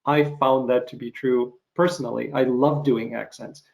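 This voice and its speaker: A man interviewing a guest in a gravelly, creaky voice of medium pitch. He thinks aloud in halting phrases, conversational throughout. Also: steady tone, darkish tone, muffled recording.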